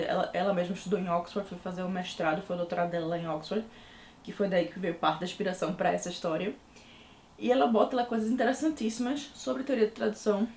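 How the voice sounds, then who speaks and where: A woman's voice: mid-range voice, warm tone, slight background noise. A young adult woman from Recife with a Pernambuco accent, chatting casually in a book review vlog. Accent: Recife Pernambuco Portuguese. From Recife, Pernambuco, Brazil. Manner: chatty, full of fillers. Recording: echoey room.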